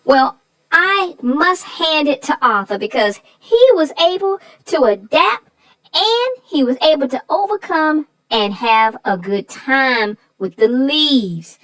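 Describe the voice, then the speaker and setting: A woman's voice: high-pitched, lively intonation, takes it slowly, fairly bright tone, dry recording, low-quality recording. A woman chatting about a children's story.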